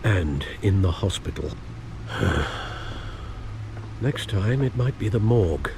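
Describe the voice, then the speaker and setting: An old butler and mentor in a video game. He has a low voice with expressive rises and falls, and reproves gently in formal, fatherly phrases. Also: gravelly, background noise, exaggerated voice. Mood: reproving. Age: older. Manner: formal, measured, admonishing.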